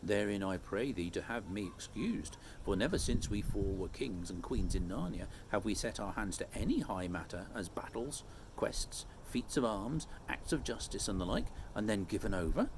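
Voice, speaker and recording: A man's voice: on the low side, animated, normal speed, noisy background, pitched below the performer's own voice. A man voicing noble young storybook kings in solemn, formal, archaic courtly speech. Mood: resolute.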